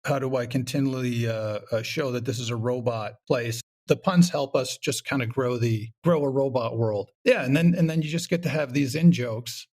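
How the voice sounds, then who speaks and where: A man's voice: mid-range voice, moderate pace, hardly any pauses, darkish tone. A man talking casually as an interview guest, wry and rambling, often acting out little quotes. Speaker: podcast guest, comedy show creator.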